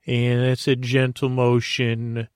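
A man with a creaky voice hosting a bedtime podcast, delivering a halting, meandering, goofy comic monologue. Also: medium pitch, monotone, dry recording, studio quality. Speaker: podcast host.

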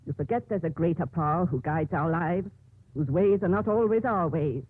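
An actress playing a stern, authoritative older nun who runs a convent, with commanding, emphatic delivery in a 1950s radio drama. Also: female voice, fairly low pitch, normal speed, husky, no echo. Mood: earnest, pleading. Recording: muffled narrowband old radio recording.

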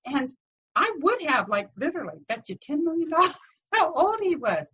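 A middle-aged woman, a guest on a podcast, chatting casually and telling lively anecdotes in which she acts out other people's voices. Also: high voice, moderate pace, muffled recording. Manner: animated storyteller acting out voices in anecdotes. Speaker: podcast guest, confidence coach. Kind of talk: casual interview chat.

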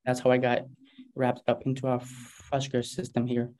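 A Ukrainian-born man speaks as a guest in a flat, level tone. His voice is dark and mellow, and his delivery is matter-of-fact. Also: medium pitch, moderate pace.

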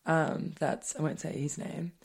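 A woman's voice: sits low, level tone, a little slow, gravelly, breathy edge. A woman co-hosting a casual, tipsy chat podcast, telling stories. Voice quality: nasal. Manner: storytelling, laughs a lot. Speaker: podcast co-host.

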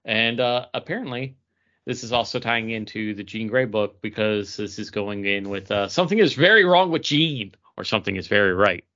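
A man's voice: fairly low pitch, moderate pace, bright, forceful tone, highs cut off. A man talking casually about comics as a podcast co-host.

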